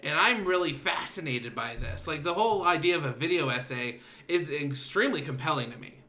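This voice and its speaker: A man hosting a casual podcast chat, animated and joking, laughing often. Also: on the high side, brisk. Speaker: podcast host.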